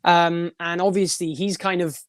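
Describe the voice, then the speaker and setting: Young guy, high voice, rambly musician chatting, dry close sound. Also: flat delivery, moderate pace, clean tone, no echo. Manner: rambling, many restarts and fillers, laughs often. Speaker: guest, band frontman and vocalist. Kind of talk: casual podcast interview.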